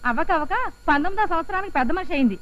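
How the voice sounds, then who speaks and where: An actress playing the bride's relative, explaining things briskly and earnestly in a film comedy. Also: female voice, very high-pitched, lively intonation, fairly bright tone.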